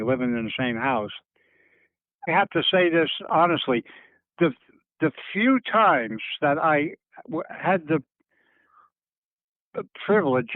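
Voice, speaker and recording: An older man speaking reflectively in a phone interview, halting and circling back as he searches for words, heard over a narrowband telephone line. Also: medium pitch, no echo. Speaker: interviewee recalling family history. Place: on a telephone call. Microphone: telephone handset.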